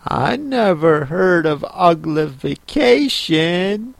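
A woman voicing a polite, curious, easily affronted young girl in a storybook, with careful, drawn-out delivery. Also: on the low side, big pitch swings, gravelly, no echo, pitched above the performer's own voice, heavily acted. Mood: doubtful.

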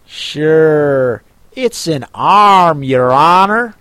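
A man voicing a meek male servant in a storybook, in a slow, humble drawl. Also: a little high, expressive, fairly bright tone, smooth, dry recording, full character voice. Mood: deferential.

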